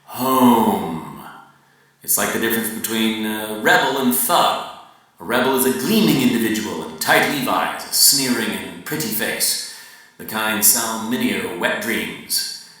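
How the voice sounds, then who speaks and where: Pompous preaching male lecturer, acted in a live reading, slow and deliberate, distant in a reverberant room. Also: on the low side, expressive, sharp tone. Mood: preachy, savoring. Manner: emphatic, lecturing, deliberate pauses. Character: pompous, preachy man coaching realtors on proper words. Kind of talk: dramatic reading of a literary monologue. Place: large echoey venue, live reading. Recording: very echoey room, far from mic.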